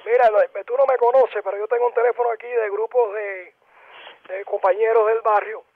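A man's voice: sits high, level tone, a little fast, no room sound, narrow, tinny audio. A man playing a pushy neighbor who poses as Cuban, prank-calling with feigned innocence. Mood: innocent, persuasive. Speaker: prank caller. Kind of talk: prank phone call.

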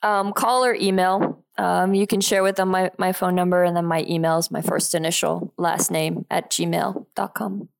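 Lower-voiced woman in her thirties. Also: fairly even intonation, normal speed, gravelly, hardly any pauses. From Geneva, Switzerland. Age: 30s. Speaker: podcast guest, real estate investor. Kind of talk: casual interview conversation.

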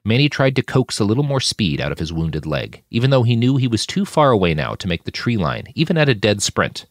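A man's voice: lowish voice, sing-song, a little fast, dry recording, studio quality. A man reading a fiction story aloud as a dramatic audiobook narrator. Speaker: author narrating his fiction podcast.

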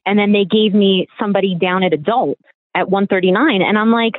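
A woman's voice: mid-range voice, a little fast, no room sound. Woman in her thirties over a tinny line. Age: 30s. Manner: candid, animated storytelling with laughs. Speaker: guest, competitor. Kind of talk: casual interview chat. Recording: tinny phone-like remote line.

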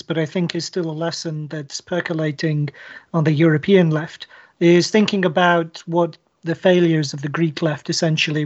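A podcast co-host with a mid-range voice who builds long, careful questions. The delivery is measured and articulate. The recording sounds slightly dull. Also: mid-range voice. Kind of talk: interview questions.

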